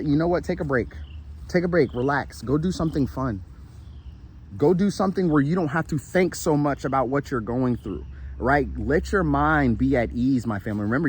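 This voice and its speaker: Man, earnest spiritual vlogger, walking outdoors, tired and sweaty, faint outdoor hiss. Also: on the high side. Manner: earnest, repetitive, with frequent "right?" and restarts; walking while he talks. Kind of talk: casual encouraging monologue. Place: outdoors in a shaded park.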